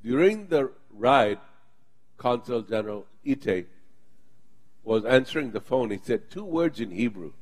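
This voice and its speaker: A man's voice: mid-range voice, very animated, unhurried. Fervent preacher man leading prayer. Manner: fervent, emphatic preaching with bursts of intensity. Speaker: pastor. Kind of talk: sermon and congregational prayer.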